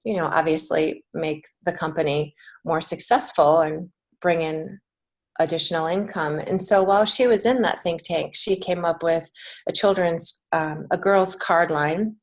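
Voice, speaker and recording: An American woman speaking as a podcast guest in a conversational way, earnest and encouraging, pausing to reflect as she goes. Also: on the low side, fairly even intonation. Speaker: guest, children's book author and writing teacher.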